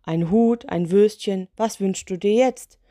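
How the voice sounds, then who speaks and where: A woman's voice: a little high, lively intonation, normal speed, a little mellow, dry recording, clean studio sound, pitched above the performer's own voice. Woman voicing a huffy, grumpy giant male lantern genie. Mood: annoyed. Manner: huffy, indignant, sarcastic.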